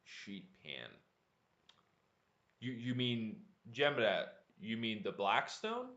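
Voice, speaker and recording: A man teaching English on a livestream, speaking deliberately and repeating words for learners. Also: fairly low pitch, animated, fairly bright tone, dull audio. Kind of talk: live online language lesson, answering viewer chat. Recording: echoey room.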